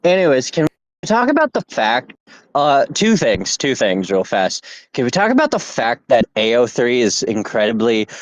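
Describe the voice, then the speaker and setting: A man's voice: medium pitch, dry recording. A young man co-hosting a casual podcast, rambling with restarts as he vents about websites. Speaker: podcast co-host. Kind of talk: casual chat. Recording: dull lo-fi dry sound.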